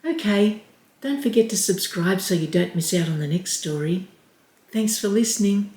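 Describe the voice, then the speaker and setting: A woman reading a children's picture book aloud as storyteller, in a gentle, clear way. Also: mid-range voice, expressive, normal speed. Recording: roomy echo.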